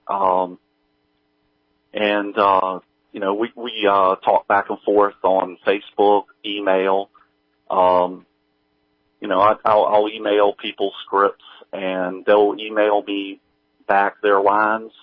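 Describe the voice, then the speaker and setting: Middle aged guy, lowish voice, hesitant casual interview talk, muffled dry radio sound. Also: dry recording. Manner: halting, hesitant delivery full of pauses. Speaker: guest, audio drama creator.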